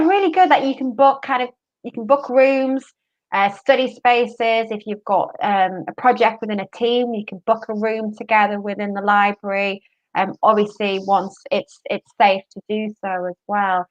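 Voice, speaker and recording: Woman, panel host, casual and friendly, filler words, muffled lo-fi audio. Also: mid-range voice. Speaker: university adviser hosting a panel. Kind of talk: panel discussion.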